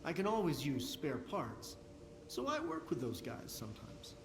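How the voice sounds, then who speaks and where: A man's voice: on the high side, huge pitch swings, normal speed, echoey room, background noise. An actor playing a wry, chatty male technician in a post-apocalyptic video game. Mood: uneasy.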